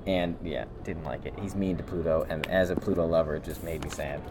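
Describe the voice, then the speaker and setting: A man's voice: sits low, steady tone, fairly quick, background noise. A man co-hosting a podcast in casual chat, matter-of-fact and opinionated. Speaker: podcast co-host.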